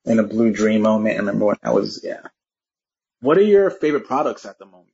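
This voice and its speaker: A man's voice: on the low side, sing-song, fast, gravelly, a little mellow. Easygoing male interviewer, dull sound. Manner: brief, easygoing prompts. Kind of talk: interview questions.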